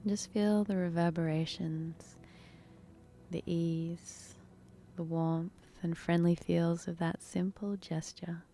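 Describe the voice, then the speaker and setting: A woman leading a guided meditation in a soft, breathy, unhurried, soothing manner with long pauses. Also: on the low side, little pitch movement.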